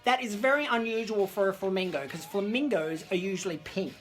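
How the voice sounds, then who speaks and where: A woman's voice: medium pitch, brisk. A woman hosting children's story time, reading a picture book to camera in a theatrical, camp, exclamatory way. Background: disco music.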